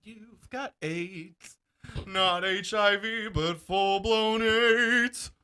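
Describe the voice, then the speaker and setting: A man's voice: sits high, drawn-out words, bright, forceful tone, clean tone. A young man co-hosting a podcast in casual, crude banter, with a joking, foul-mouthed manner. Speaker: podcast co-host.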